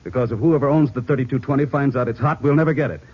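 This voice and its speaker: An actor playing an authoritative American police chief in an old radio drama, briefing his detectives in a brisk, terse, commanding way. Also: mid-range voice, breathy edge, natural voice. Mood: brisk, decisive. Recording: muffled, faintly noisy old radio recording.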